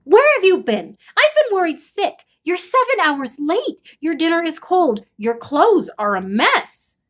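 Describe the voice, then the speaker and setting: A woman's voice: very high voice, very animated, a little slow, a bit bright, pitched above the performer's own voice, heavily acted. A woman voicing a worried, nagging storybook princess who scolds in a string of complaints. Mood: scolding.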